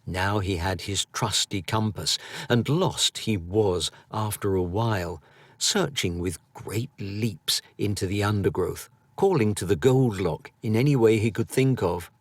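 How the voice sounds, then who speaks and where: Male audiobook narrator, lowish voice, unhurried, theatrical delivery, dry studio sound. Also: no room sound. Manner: theatrical, expressive storytelling. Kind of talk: scripted storybook narration.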